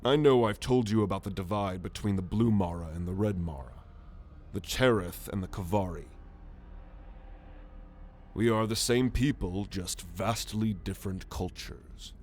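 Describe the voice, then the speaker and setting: A man voicing a proud, gruff alien military renegade in an ASMR roleplay, haughty and sardonic in a measured delivery. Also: low-pitched, a little mellow, a little hiss or hum. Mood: pragmatic, brusque. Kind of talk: ASMR roleplay monologue to the listener.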